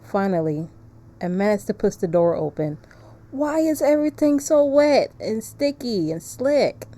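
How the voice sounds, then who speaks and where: Mellow-voiced woman streaming a story game. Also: mid-range voice, big pitch swings, unhurried. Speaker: streamer playing a visual novel. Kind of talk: casual live game commentary mixed with reading story text aloud.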